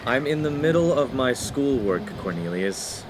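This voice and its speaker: A man's voice: mid-range voice, very animated, measured pace, very noisy. A man playing a studious, proper young college freshman in a comic radio play, earnest and easily exasperated. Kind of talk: 1950s-set comic radio play acting.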